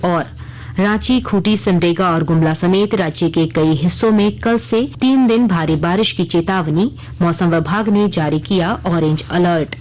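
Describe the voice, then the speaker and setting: A woman reading a scripted radio news bulletin in a formal, measured newsreader manner. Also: on the low side, a little mellow, poor audio. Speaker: news reader.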